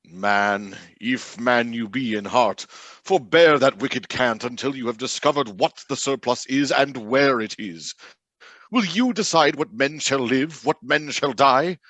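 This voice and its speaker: An actor playing a stern, indignant spirit who speaks in a declamatory, rebuking way in a radio play. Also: male voice, mid-range voice, unhurried, fairly bright tone, no echo. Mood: stern rebuke. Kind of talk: theatrical radio drama acting.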